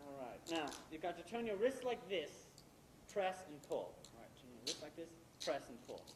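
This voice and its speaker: A man's voice: fairly high pitch, expressive, moderate pace, natural voice. A man acting an older, established playwright in an amateur stage play, sly and condescending, with a theatrical, faux-genial delivery. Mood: fussing, distracted. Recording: echoey stage recording, faint noise.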